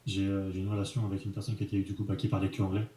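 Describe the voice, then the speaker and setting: A man, talking as an interview guest in a relaxed, frank way, full of fillers and slang. His voice is low and mellow. The room around him echoes noticeably. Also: rapid-fire. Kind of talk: casual conversational interview.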